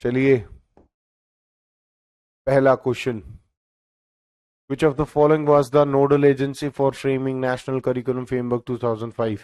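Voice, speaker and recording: A man's voice: mid-range voice, flat delivery, drawn-out words, long pauses, darkish tone, clear, resonant tone, no room sound. Guy teaching exam prep, mid voice, dry close sound, keeps checking in with his students. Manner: explanatory, frequent "theek hai" checks.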